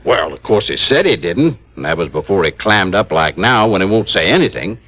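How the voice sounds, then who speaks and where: Rustic police chief, Southern drawl, low animated voice, insistent, grumbling, old-time radio drama. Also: male voice, a little fast, full character voice. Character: folksy, grudge-bearing small-town male police chief. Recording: dull, hissy vintage radio recording.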